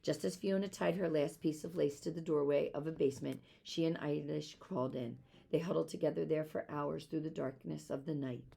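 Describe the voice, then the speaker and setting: A woman reading a children's story aloud in an expressive storytelling style. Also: low voice, brisk, creaky, slightly rough. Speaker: storybook reader.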